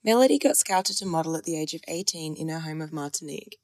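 Low-voiced woman podcast co-host. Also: lively intonation, quick pace, darkish tone, a little hiss or hum. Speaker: podcast co-host, fashion model. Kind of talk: podcast intro and interview.

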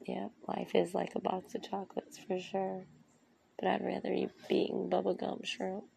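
A woman hosting a podcast, speaking in an earnest, rambling, heartfelt way with a soft, soothing voice. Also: mid-range voice, completely flat delivery, moderate pace, creaky, slight background noise, poor audio. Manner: earnest, rambling, with restarts and asides. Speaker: podcast host. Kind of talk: heartfelt motivational monologue.

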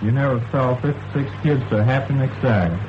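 A man's voice: mid-range voice, a little fast. A man from Alabama answering a scripted 1940s radio interview as an army sergeant. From Alabama, United States. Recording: dull, noisy wartime shortwave radio relay.

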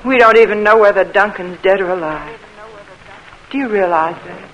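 A woman's voice: medium pitch, moderate pace, a bit bright, close to an everyday voice. An actress playing an emotional young Southern woman, pleading and earnest, in a 1940s radio drama. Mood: anxious. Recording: noisy old radio recording.

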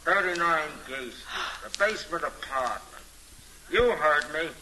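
An actor playing an impatient, threatening crook with curt, menacing delivery in 1940s radio crime style, on a noisy vintage recording. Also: male voice, fairly high pitch, expressive, unhurried, bright, forceful tone, exaggerated voice. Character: impatient, threatening male crook making a secret rendezvous.

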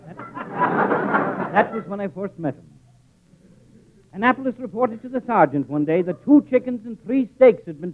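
On a muffled vintage broadcast, a dopey neighborhood policeman with a very high male voice. He puts on formal airs like a public speaker, in old radio comedy style. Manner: mock-formal speechifying. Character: thick-headed, pompous male neighborhood policeman. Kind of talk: 1940s radio comedy acting.

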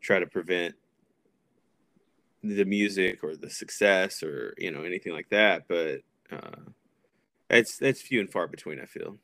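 An American man in his thirties from Texas, chatting casually as a podcast guest with a hesitant, pausing delivery. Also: deep voice, a bit bright, no echo. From Texas, United States. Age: 30s. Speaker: podcast guest, punk music promoter. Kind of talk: casual chat.

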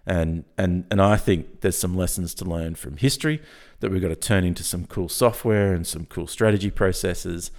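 Man, Australian accent, low pitch, croaky, casual podcast guest, jokey informal business talk. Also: normal speed, hardly any pauses, a little mellow. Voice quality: croaky early-morning voice. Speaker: podcast guest, software company founder.

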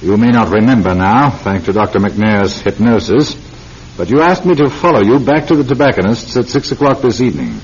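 Stern, clipped senior police officer. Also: male voice, fairly low pitch, lively intonation, brisk, slightly rough. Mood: explanatory. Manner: authoritative, clipped, formal. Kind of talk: radio drama acting. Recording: muffled, noisy radio recording.

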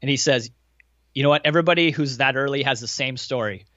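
A man's voice: medium pitch, quick pace, a bit bright, dry recording, highs cut off. An American man answering interview questions in a casual, informal, anecdotal way, with some self-deprecation. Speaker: podcast guest, value investor running a fund.

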